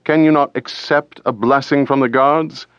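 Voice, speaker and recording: A man's voice: medium pitch, steady tone, moderate pace, a bit bright, smooth, no echo, highs cut off, pitched above the performer's own voice, natural voice. A man voicing credulous, jubilant ancient townsfolk in an audiobook, with raised, exclamatory delivery. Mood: mocking.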